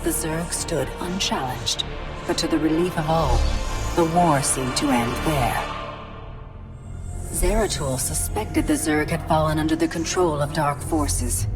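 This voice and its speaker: A woman acting as a solemn, measured chronicler, narrating a galactic war saga for a game cinematic. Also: low-pitched. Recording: prominent orchestral music behind. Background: music.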